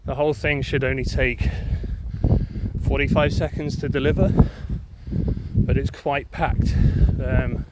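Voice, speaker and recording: A man's voice: medium pitch, measured pace. A man from England chatting casually while walking outdoors, rambling with lots of fillers and a bit out of breath. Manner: rambling, relaxed, many fillers. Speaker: vlogger. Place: outdoors in windy countryside. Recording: loud wind noise on outdoor camera mic.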